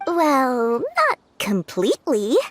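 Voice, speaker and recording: High-voiced, shrewd young merchant lady. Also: wildly swooping pitch, takes it slowly, a little hiss or hum, full character voice. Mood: sly, persuasive. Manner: sly, coy, self-assured, explanatory. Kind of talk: animated video game dialogue.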